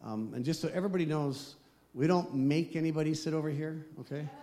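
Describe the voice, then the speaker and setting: Guy preaching at church, high-ish voice, leans hard on the key lines. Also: dark tone. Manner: emphatic preaching, slows for key phrases. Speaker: pastor. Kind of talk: sermon.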